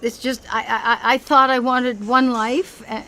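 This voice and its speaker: High-voiced, gushing mother in her sixties. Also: little pitch movement, normal speed, sharp tone. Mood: earnest. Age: 60s. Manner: effusive, stammering declarations. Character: restless, gushing 68-year-old wife and mother reinventing herself. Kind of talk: comedy audio play acting.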